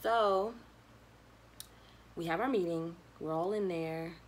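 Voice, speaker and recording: A young adult woman telling a personal story in a casual, slangy, animated way, acting out bits of dialogue as a vlogger would. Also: on the low side, takes it slowly, a little hiss or hum.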